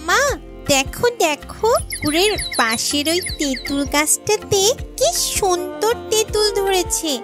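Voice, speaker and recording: A woman's voice: extremely high pitch, expressive, unhurried, over music. A woman voicing an eager, curious little village girl in a cartoon, childlike and exclaiming.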